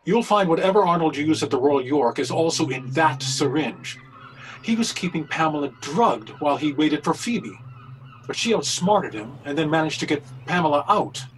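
An urgent reverend detective of an old-time radio mystery played by a man, with a slightly raised pitch. His speech is formal, articulate and commanding. The video-call recording carries faint noise. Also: lively intonation, moderate pace, lightly acted, rough recording. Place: indoor home video call.

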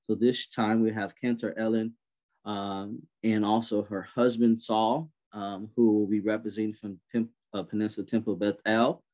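A man's voice: on the low side, normal speed. A man in his thirties hosting an online prayer event, speaking casually with many fillers over a webcam. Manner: relaxed, many fillers and restarts. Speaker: event host. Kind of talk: casual moderating on a video call. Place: indoor room on a video call. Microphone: webcam on a video call.

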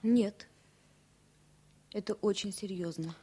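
An actress playing an earnest, worried woman in love in a radio drama, confiding in a hushed, halting way. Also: medium pitch, slightly rough. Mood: serious. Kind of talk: radio play acting, 1970 Soviet radio drama.

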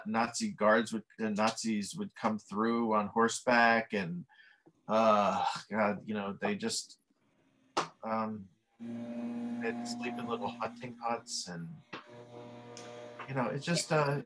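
A man's voice: fairly low pitch, steady tone, slow, pauses often, a bit bright, a little hiss or hum. A middle-aged man, a film director, answering interview questions casually over a video call from a home office, with many fillers. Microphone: webcam video call.

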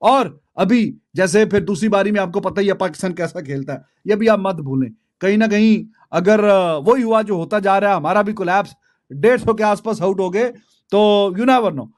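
A man's voice: sits high, normal speed, a little mellow. A Pakistani man in his thirties giving casual, colloquial cricket commentary to camera, dropping in English phrases, close to a desk microphone. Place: small indoor home studio. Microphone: desk microphone close in front.

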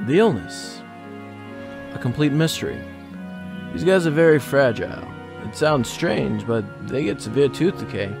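A man's voice: medium pitch, sing-song, unhurried, gravelly, music in the background. A man playing a plainspoken park ranger in an audio drama, matter-of-fact with dry humour.

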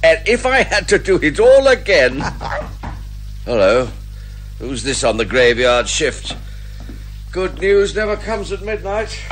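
Jolly, hugely animated old chum. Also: male voice, a little high, takes it slowly, pauses often, slight background noise, full character voice, poor audio. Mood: hearty, wistful. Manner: hearty, consoling, clubby. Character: jolly, loyal older gentleman sidekick, old chum. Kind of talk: radio comedy drama acting.

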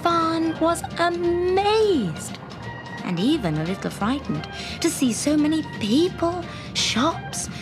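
High-pitched, animated woman storyteller. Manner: expressive, dramatic storytelling. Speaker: storyteller, audiobook reader. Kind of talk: scripted children's story narration.

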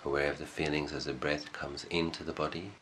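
Casting: male meditation guide, exceptionally deep register, unhurried short phrases and long pauses, slight background noise. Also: little pitch movement, a bit bright. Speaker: meditation teacher.

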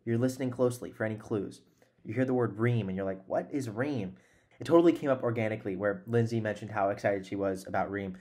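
A man's voice: fairly low pitch, fast, a little mellow. A man in his twenties hosting a podcast in a conversational, earnest and encouraging way, close on a desk microphone. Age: 20s. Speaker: podcast host. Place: small room at home, indoors.

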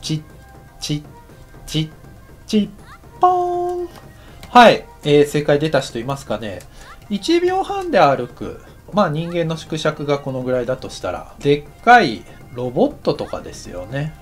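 A man teaching anime directing in a relaxed tutorial voice, acting out footsteps and sound effects as he explains. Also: fairly high pitch, moderate pace, soft background music. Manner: lively, acts out sounds and footsteps. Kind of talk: casual tutorial narration.

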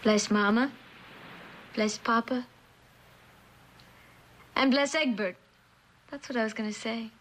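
A woman's voice: fairly high pitch, slow pace, pauses often, fairly bright tone. A young American woman playing a brash, flippant, defensive eighteen-year-old orphan girl in a vintage suspense drama, slangy and wisecracking. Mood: evasive, flippant. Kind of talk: 1960s television suspense drama acting. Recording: noisy vintage TV drama soundtrack.

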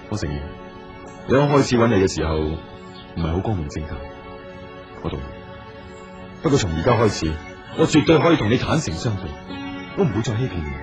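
Earnest, low-pitched businessman, very expressive. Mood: sincere. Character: earnest, persistent businessman pleading with a woman. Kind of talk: Cantonese radio drama acting. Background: music.

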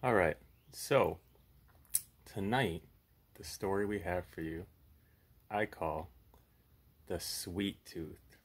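Low, husky man reading bedtime horror. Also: takes it slowly, pauses often. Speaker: puppet show host and storyteller. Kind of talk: horror story read-aloud with casual chat.

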